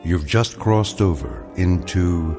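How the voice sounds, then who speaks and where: Deep-voiced man, portentous narration over music. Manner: measured, portentous. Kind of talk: scripted dramatic narration.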